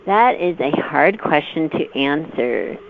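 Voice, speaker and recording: A woman author answering interview questions in a measured, earnest, articulate way. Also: low voice, expressive. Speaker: novelist guest. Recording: phone-like line with clear noise.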